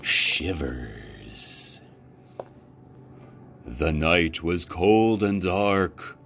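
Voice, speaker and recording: A man reading a children's storybook aloud as a librarian, with expressive, measured narration. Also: sits low. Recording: clearly noisy background.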